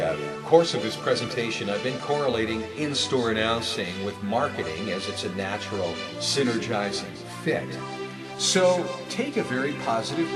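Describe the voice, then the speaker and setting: Man giving an emphatic presentation, very animated pitch. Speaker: presenter of a retail announcing guide. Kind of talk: scripted instructional presentation.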